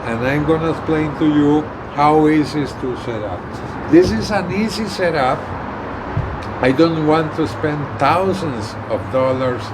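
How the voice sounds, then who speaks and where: A man giving a casual how-to explanation to camera, speaking in halting, deliberate phrases with pauses mid-sentence. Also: on the high side, noisy background. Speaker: vlogger, hobbyist radio user and truck driver.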